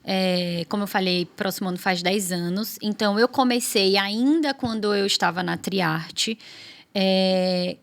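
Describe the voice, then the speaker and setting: A woman's voice: mid-range voice, a little slow. A woman in her thirties with a Northeastern Brazilian accent, chatting casually as a podcast guest and telling stories from her career. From Aracaju, Sergipe, Brazil. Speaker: podcast guest, architect and production course teacher. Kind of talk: casual podcast conversation, personal storytelling.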